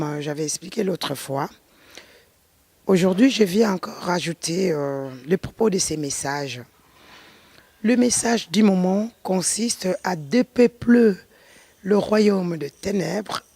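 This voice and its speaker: A middle-aged woman from Congo giving a slow spoken testimony and preaching, pausing and restarting as she talks. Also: medium pitch, creaky, a little mellow, breathy edge, no echo. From Congo (DRC). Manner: slow and deliberate, with hesitant restarts.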